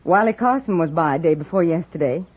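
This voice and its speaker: An actress playing an anxious young sweetheart in a radio western, earnest and faltering. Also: female voice, lowish voice, expressive, brisk. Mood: anxious, pleading. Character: anxious, hesitant young sweetheart of an outlaw soldier. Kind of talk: 1950s radio western acting. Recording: tinny old radio recording.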